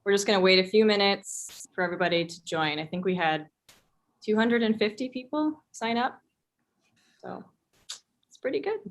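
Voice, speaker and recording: A woman with a medium-pitched voice co-hosts an online town hall as a reporter. She moves between informal welcomes and prepared introductions, speaking over a video call. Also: normal speed, pauses often. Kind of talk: informal event hosting, partly scripted introductions.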